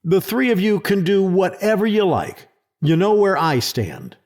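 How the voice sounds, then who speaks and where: A man's voice: high voice, very animated, measured pace, a little mellow. A man playing a defensive, sarcastic presidential secretary in a sci-fi audio drama, arguing sceptically. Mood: defensive, irritated. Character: combative, skeptical presidential secretary guarding his turf.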